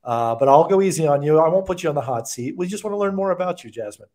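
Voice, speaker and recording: A man's voice: fairly high pitch, lively intonation, fast talker, dark tone. American guy in his 40s doing a podcast interview, casual and chatty, talking close on a desk mic. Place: indoor room with bookshelves, on a video call. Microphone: desk microphone close to the mouth.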